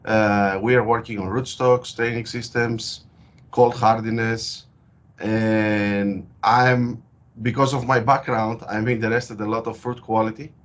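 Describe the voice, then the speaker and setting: A man from Greece with a Greek accent, speaking in an interview as a guest researcher and explaining his work with frequent hesitant pauses. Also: lowish voice, level tone. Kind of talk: interview over a call. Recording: dull lo-fi call audio.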